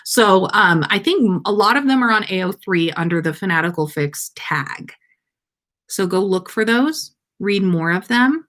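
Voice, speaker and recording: A woman with an unhurried pace and a middle-range voice. She sounds like a podcast host at story time, playful and informal, moving between storytelling and casual chat. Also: medium pitch, lively intonation, a bit bright. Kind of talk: storytelling read-aloud and casual livestream chat.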